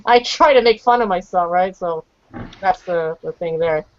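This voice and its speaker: A man's voice: high-pitched, moderate pace, no room sound. Young male English tutor, chatty and self-mocking, on a handheld mic; lo-fi video-call sound. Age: young adult. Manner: chatty, lots of restarts and "you know", jokey. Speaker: English teacher. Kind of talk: casual online group lesson. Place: small home room with bookshelves. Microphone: handheld mic held close on a video call.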